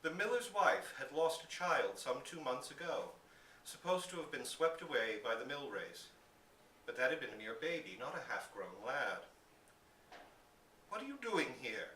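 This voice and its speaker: Male story reader, very varied intonation, expressive read-aloud delivery, reverberant room, light background noise. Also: medium pitch, normal speed. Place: indoor public reading in a bookstore. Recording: roomy, distant live recording with hiss.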